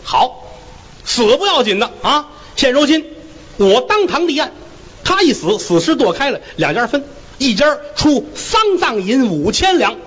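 A storyteller voicing a stern male magistrate in his thirties, loud and commanding. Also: extremely high pitch, sing-song, measured pace, slightly rough, lo-fi sound, pitched above the performer's own voice, exaggerated voice.

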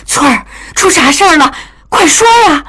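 A man's voice: normal speed, bright, forceful tone. Man doing a tearful anguished old-style mother. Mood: shocked, distraught. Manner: tearful, pleading, high falsetto. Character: anguished middle-aged mother of a gentry household.

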